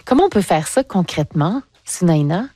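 A woman's voice: lowish voice, very animated, normal speed, mellow, no room sound, clean studio sound. A woman hosting a radio interview, friendly and encouraging with light laughs. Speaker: radio host. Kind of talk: broadcast interview.

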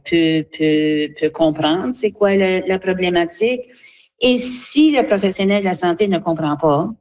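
A woman's voice: on the low side, a little mellow. A woman, explaining earnestly as an association representative in a spontaneous interview.

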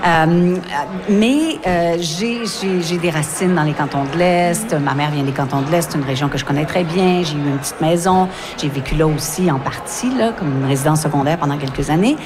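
Low-voiced woman, thoughtful. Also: background noise. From Montreal, Quebec. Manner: thoughtful, with fillers and restarts. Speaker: novelist and former journalist. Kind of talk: radio interview.

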